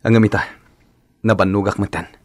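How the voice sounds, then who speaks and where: A man's voice: on the low side, fairly even intonation, brisk, no room sound, close to an everyday voice. A man playing an aggrieved, resolute husband in a radio drama, firm and reproachful.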